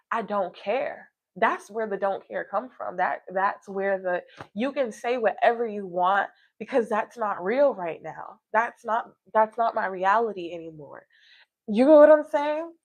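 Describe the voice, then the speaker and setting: A woman talking casually and reflectively to livestream viewers, rambling and emphatic, often checking that her listeners follow her. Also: medium pitch. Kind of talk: casual personal livestream chat, reflective and motivational.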